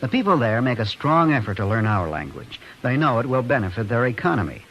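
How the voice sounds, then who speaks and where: A male announcer with a mid-range, highly inflected voice. He reads a scripted vintage radio announcement with faint noise on the recording. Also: sing-song, fairly quick, fairly bright tone, poor audio. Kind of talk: scripted 1940s-50s radio public-service announcement.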